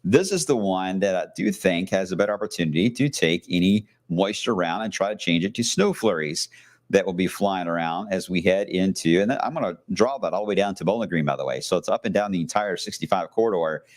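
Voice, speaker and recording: A man with a deep, low voice talks through a live weather forecast from a shopping outlet. His delivery is loose and conversational, full of fillers and casual asides rather than a polished script. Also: fairly quick. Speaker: TV meteorologist.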